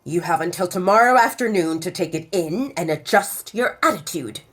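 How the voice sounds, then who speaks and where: Domineering father for audio fiction, played by a woman: middle-aged, cold, commanding, on the low side. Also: animated, moderate pace, a bit bright. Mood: stern, commanding.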